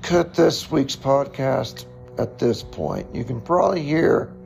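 A man hosting a solo podcast, reflecting in a slow, halting way with a hoarse, raspy voice. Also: medium pitch, expressive, a little mellow, soft background music. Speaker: podcast host.

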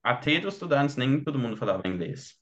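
Podcast guest, young German man, learned Portuguese, accented, searches for words, steady mid voice. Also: mid-range voice, quick pace, muffled recording. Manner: searches for words, many "tipo" fillers. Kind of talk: casual conversation.

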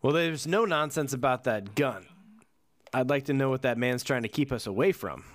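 A man co-hosting a casual podcast, reading passages from a book aloud and chatting with dry, relaxed humor. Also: mid-range voice, normal speed.

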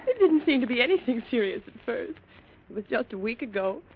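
A woman acting in a 1940s radio drama as a distraught, frightened wife, halting and melodramatic. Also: a little high, big pitch swings, a little hiss or hum. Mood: grieving. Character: distraught, frightened wife of a rich mine owner. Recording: dull, lo-fi old radio recording.

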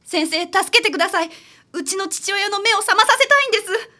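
A woman plays a troubled daughter confiding in a detective in a radio drama. Her voice is high and sincere. She speaks politely, like a client explaining a family worry. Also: expressive, a little fast, bright, forceful tone, exaggerated voice. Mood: pleading, troubled.

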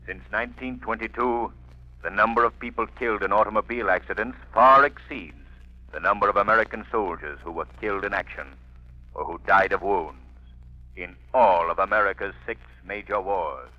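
An actor playing a calm, cunning, commanding crime-fighter with deliberate, dramatic delivery in a 1930s radio drama. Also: male voice, lowish voice, natural voice. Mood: ominous. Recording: dull, noisy 1930s radio recording.

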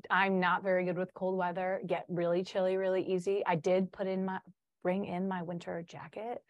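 A woman's voice: fairly low pitch, little pitch movement, normal speed, dry recording, highs cut off. A woman in her early twenties from Chicago, chatting informally and upbeat as an interview guest. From Chicago, United States. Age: early 20s. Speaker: podcast guest, student teacher in training. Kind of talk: casual interview chat.

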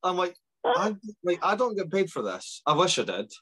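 A man's voice: sits high, very animated, moderate pace, a bit bright, slightly rough. A Scottish man hosting a casual interview, speaking in an informal, self-deprecating way. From Scotland. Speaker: podcast host interviewing athletes.

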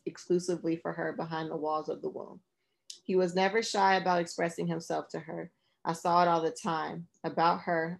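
A woman narrating a memoir as an audiobook, reading in a measured, even way. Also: lowish voice, vocal fry.

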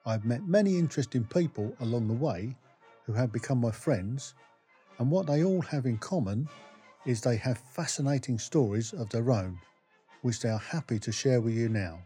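A man's voice: medium pitch, lively intonation, normal speed, warm tone, faint music behind. A male podcast host who reads a scripted introduction and then asks friendly, brief questions, with an occasional light joke.

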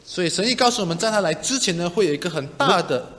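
A man interpreting a sermon live, speaking in brisk, short bursts that follow a preacher. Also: high-pitched, animated, a bit bright. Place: large reverberant room, interpreting live to a congregation. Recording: reverberant hall sound.

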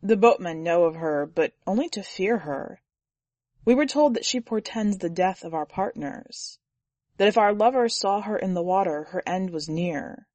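A woman voicing a gentle middle-aged male boatman, with a quiet, low-key, calm way of speaking. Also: normal speed, no echo, lightly acted. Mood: worried.

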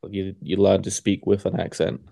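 Calm, low-voiced young man teasing dryly. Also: normal speed, gravelly. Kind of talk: casual banter.

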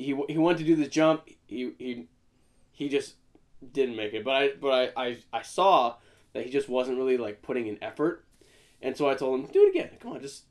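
A young man co-hosting a casual podcast, joking around with his friend, often stammering and restarting his sentences. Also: medium pitch. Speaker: podcast co-host. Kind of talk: casual banter.

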